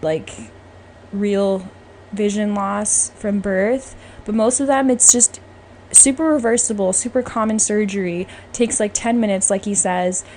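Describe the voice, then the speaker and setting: A woman speaks over noticeable background noise in a mid-pitched voice. She hosts a podcast, giving her opinions in a casual, chatty way. Her delivery is informal and emphatic. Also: a little slow, darkish tone. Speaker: podcast host. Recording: clearly noisy background.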